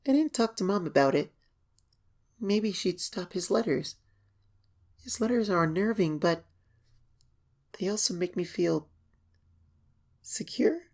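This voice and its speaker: A woman voicing an anxious young pony filly, reading her diary as an expressive, hesitant horror story narration. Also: on the low side, measured pace, pauses often. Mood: unnerved, wondering. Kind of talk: dramatic first-person horror story reading.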